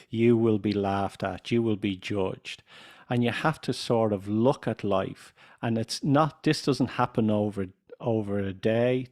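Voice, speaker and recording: Slow-speaking Irish man in his fifties. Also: lowish voice, a little mellow. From Ireland. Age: 50s. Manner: deliberate, self-assured, slows speech for clarity. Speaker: guest, business coach. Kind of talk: podcast interview.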